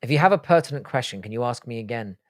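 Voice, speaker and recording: A man's voice: mid-range voice, lively intonation, quick pace, no room sound, studio quality. A young man, raised in the UK, hosting a live stream and answering viewer questions in blunt, profane, joking chat. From born in Ireland, grew up in the UK. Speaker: livestream host.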